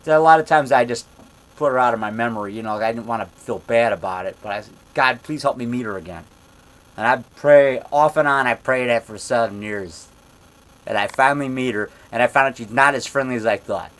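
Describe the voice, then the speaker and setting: A man in his fifties telling a rambling story in a loud voice, repeating himself and getting worked up as he goes. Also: mid-range voice, fairly quick, rough recording. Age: 50s. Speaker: monster movie maker telling a story. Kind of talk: casual storytelling monologue.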